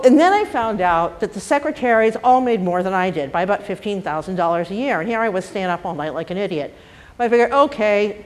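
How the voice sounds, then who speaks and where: An older woman with a trace of a Boston accent talks candidly on a panel about her working life. Her pitch sits in a middling range, and her manner is wry, blunt and self-deprecating. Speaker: panel speaker. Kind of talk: candid personal talk.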